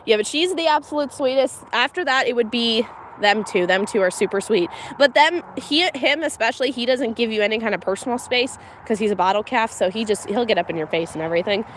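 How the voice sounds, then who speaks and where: A woman's voice: a little high, animated, quick pace, hardly any pauses. Woman, slight Pennsylvania accent, casual livestream chatter, outdoors by a pasture, light background noise. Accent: Pennsylvania, mixed American. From Pennsylvania, USA. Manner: chatty, laughs often, candid. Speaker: homestead livestreamer. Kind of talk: casual live commentary. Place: outdoors on a farm with cows.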